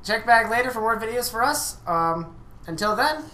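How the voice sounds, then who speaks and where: A man hosting a casual let's-play, reading game text aloud and commenting excitably. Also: sits high, normal speed. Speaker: host of a gaming video.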